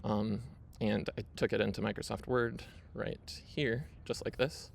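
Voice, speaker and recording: Gravelly low-voiced man, casual talk. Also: fairly even intonation, a little slow, a little hiss or hum. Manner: chatty, frequent fillers and "right?" tags. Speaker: video host. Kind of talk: casual screen-share walkthrough.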